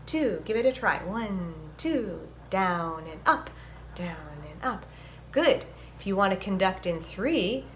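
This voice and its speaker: Female children's presenter, mid-range voice, gentle and encouraging read-aloud and teaching delivery, light noise behind. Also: medium pitch. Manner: gentle, encouraging, instructive, addressing young children. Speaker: violinist presenting a children's story time. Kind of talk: picture book read-aloud and music lesson for children.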